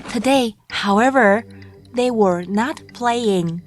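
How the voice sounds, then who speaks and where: A woman narrating a children's storybook from a script in a measured, deliberate read-aloud delivery. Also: fairly high pitch. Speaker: audiobook narrator. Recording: music bed under the voice. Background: background music.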